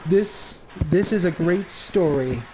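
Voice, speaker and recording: A man's voice: fairly high pitch, takes it slowly, noisy background. A man in his early twenties from New York, telling crude, boastful stories in a casual voice chat with lots of restarts. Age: 20s. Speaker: gamer in voice chat.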